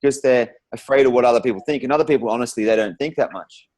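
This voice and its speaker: A man's voice: medium pitch, rapid-fire, darkish tone. A man hosting a conversational interview in an informal, rambling, encouraging way. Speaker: interview host.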